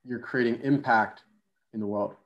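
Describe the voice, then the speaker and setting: A man hosting a casual podcast interview in a relaxed, easygoing way. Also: mid-range voice, moderate pace, lo-fi sound. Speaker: podcast host.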